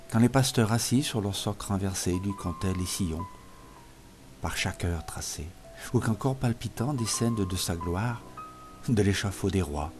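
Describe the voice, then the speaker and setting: Low-pitched man solemnly reciting poetry over music. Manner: measured phrases falling softer at line ends. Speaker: poetry reader. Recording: music playing behind the voice.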